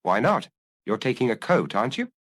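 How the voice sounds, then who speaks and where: A man plays a calm middle-aged police chief in an audiobook detective story. He questions deliberately and briefly, with lively rises and falls in pitch and a dry sound. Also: no echo. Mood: matter-of-fact. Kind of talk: acted audiobook dialogue.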